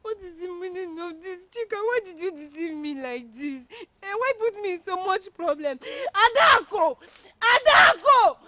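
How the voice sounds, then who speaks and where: An actress playing an exasperated, forceful woman demanding answers in a film drama, with a Nigerian accent. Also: extremely high pitch, normal speed, few pauses. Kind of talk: Nollywood film drama acting.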